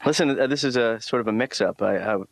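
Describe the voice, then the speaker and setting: Actor as fast-talking, flustered adult son. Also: male voice, mid-range voice, vocal fry, no room sound, lightly acted. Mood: flustered, apologetic. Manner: stammering, rambling. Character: childish, flustered adult son, sarcastic and excitable. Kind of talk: naturalistic improvised comedy dialogue.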